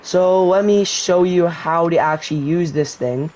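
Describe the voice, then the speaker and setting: A man's voice: fairly high pitch, measured pace, slight background noise, rough recording. A man giving a casual, rambling voice-over tutorial for a survival video game, explaining as he plays. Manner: relaxed, rambling explanation with restarts. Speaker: gaming YouTuber.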